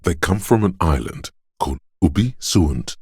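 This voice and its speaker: A man playing a learned, detached inner voice of trivia in a video game, narrating in a measured, erudite, wry way with a smooth, resonant tone. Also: deep voice, big pitch swings, a little mellow, no room sound, clean studio sound, heavily acted. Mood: informative, deadpan.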